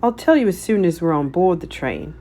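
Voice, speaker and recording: A woman's voice: fairly low pitch, animated, a little fast, warm tone. A woman voicing an earnest male train owner in a children's storybook, formal and explanatory.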